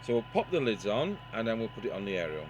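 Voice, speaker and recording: A man talks through a technical job as he does it. His voice sits on the low side but swings widely up and down. He is matter-of-fact and unscripted, with clear humming noise behind him. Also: very animated, fast. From United Kingdom. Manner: matter-of-fact, thinking aloud as he works. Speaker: radio repair technician and presenter. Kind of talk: unscripted hands-on workshop demonstration. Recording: noisy workshop recording with electrical hum.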